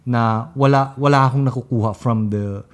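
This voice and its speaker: A young Filipino man co-hosting a podcast, chatting casually in mixed English and Tagalog with a deep, big, commanding voice. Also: fairly even intonation, brisk. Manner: commanding, direct, gestures a lot. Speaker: podcast co-host.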